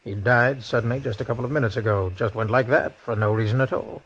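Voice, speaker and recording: Frank doctor, muffled old radio. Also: male voice, medium pitch, steady tone, quick pace, breathy edge. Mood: grave. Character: grave male hospital doctor, frank colleague. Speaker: radio drama actor. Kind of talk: 1940s radio drama acting. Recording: muffled vintage radio recording.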